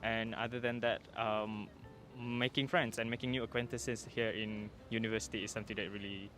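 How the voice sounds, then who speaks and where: A man's voice: fairly low pitch, flat delivery, a little fast, fairly bright tone, faint music behind. A man in his twenties with a Malaysian accent, a student answering casually in an outdoor interview. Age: 20s. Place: outdoors on a campus.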